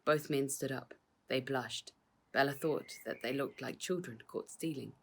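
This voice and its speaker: Low-voiced woman reading a storybook, husky. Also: a little slow. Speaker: author reading her own book aloud. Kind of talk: scripted storybook narration.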